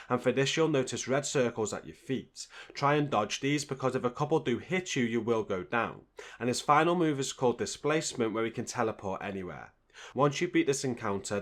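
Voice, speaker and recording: Mid-pitched man narrating a game guide. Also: a bit bright. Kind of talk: scripted narration of a boss guide.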